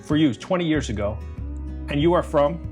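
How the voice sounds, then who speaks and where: A man hosting an interview, introducing his guest deliberately and asking friendly questions. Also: on the high side, lively intonation, darkish tone, soft background music. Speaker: interview host.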